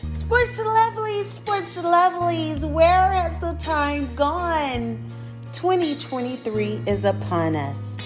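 Bubbly, animated woman podcast host. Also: extremely high pitch, slow, over music. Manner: bubbly, laughs often, exclamatory. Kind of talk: casual interview chat.

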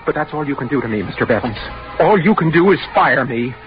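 A man's voice: fairly high pitch, animated, quick pace, close to an everyday voice. An actor playing a meek, long-suffering male office clerk turning defiant and unhinged, stammering and agitated in a 1940s radio thriller. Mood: indignant, wound up. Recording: dull old radio recording with music.

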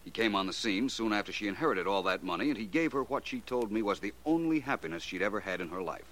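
Seeking a shrewd gentleman detective for 1940s radio: low voice, theatrical, highly animated delivery. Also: fast talker, rough recording. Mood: explanatory, assured. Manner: assured, articulate, theatrical. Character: shrewd, confident gentleman detective, coolly explaining crimes. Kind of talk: 1940s radio drama acting.

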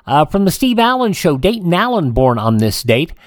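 A radio host reading this-day-in-history items in an informal scripted style, halting at times and correcting small stumbles. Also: medium pitch, very animated, no room sound, clean studio sound.

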